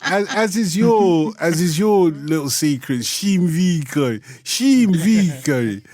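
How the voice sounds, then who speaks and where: A man's voice: a little high, lively intonation, slow pace, a little mellow, no echo. A man hosting a radio phone-in, chatting casually, teasing his guests and laughing often.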